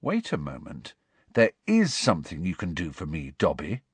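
Man playing a tired teenage boy wizard, quiet, thoughtful, lively tone, dry close sound. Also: medium pitch, measured pace, dry recording.

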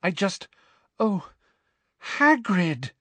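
A man voicing an anxious, earnest teenage girl who gently insists, in a storybook reading. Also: medium pitch, very animated, unhurried, breathy edge, dry recording, pitched above the performer's own voice, full character voice. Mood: breathless, shocked.

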